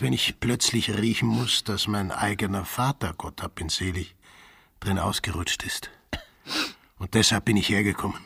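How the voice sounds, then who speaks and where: Low creaky coarse potter. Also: male voice, a bit bright, heavily acted. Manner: earthy, rambling, sardonic. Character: coarse, bitter, drinking village potter. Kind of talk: radio play dialogue.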